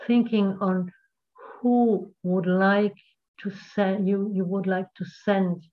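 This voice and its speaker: A woman presenting a workshop, guiding the group in a slow, gentle cadence over a video call. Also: mid-range voice, steady tone. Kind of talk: guided meditation and group talk. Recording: tinny call audio.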